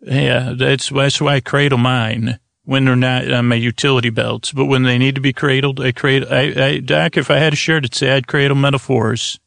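A man hosting a bedtime comedy podcast, improvising a meandering, halting, absurdist monologue in a creaky voice. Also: medium pitch, level tone, a bit bright, dry recording. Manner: meandering, halting, absurdist one-sided conversation with an imagined doctor. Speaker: podcast host.

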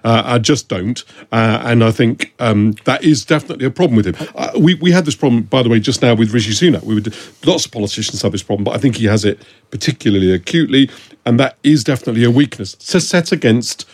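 A man's voice: mid-range voice, animated, quick pace. A man guest on a political podcast giving his candid, opinionated view. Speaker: guest commentator.

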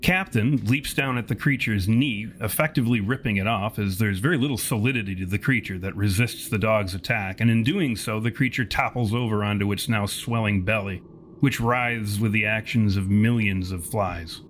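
A man's voice: fairly low pitch, normal speed, a bit bright, slight background noise. A man narrating a tabletop horror game as game master, in a descriptive storytelling style.

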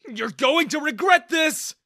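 A man plays a determined eighteen-year-old in a narrated story. He is earnest and defiant, with a high, bright, animated voice. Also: normal speed, no room sound, studio quality. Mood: indignant.

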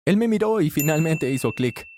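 Male narrator for a first-person story, fairly high voice with a lively, swinging tone; faint background noise. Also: moderate pace. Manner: animated storytelling, shifts pitch for characters' lines.

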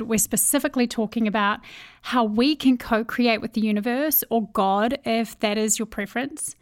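A woman's voice: on the high side, no echo, clean studio sound. A woman with a New Zealand accent hosting a podcast, reading an earnest, upbeat intro and then interviewing a guest. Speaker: podcast host.

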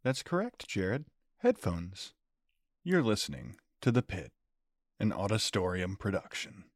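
A man's voice: lowish voice, sing-song, measured pace, no echo, studio quality. A man hosting a podcast, giving a conversational introduction to the show. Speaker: show host.

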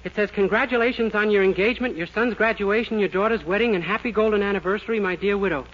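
An actor playing a naive, earnest, rather dim young clerk in 1940s radio sitcom style. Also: male voice, sits high, quick pace, close to an everyday voice. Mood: earnest, cheerful. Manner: earnest, innocent. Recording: dull old radio recording, loud hiss, clicks.

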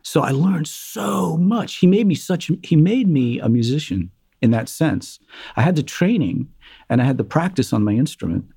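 A man being interviewed about his life as a musician, telling lively stories and slipping into the voices of the people he quotes. His tone is dark and mellow. The sound is dry and close. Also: a little high, moderate pace, vocal fry, husky, no echo. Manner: animated storyteller, acts out quotes, many fillers. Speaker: guest, musician. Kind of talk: conversational interview storytelling.

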